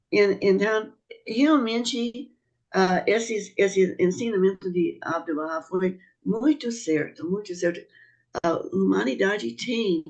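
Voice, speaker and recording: A middle-aged woman who learned Portuguese late in life, speaking on a panel in a halting way with many restarts. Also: fairly low pitch, a little mellow. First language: not Portuguese. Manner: halting, restarts, laughs often. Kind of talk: panel talk. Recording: dull lo-fi sound.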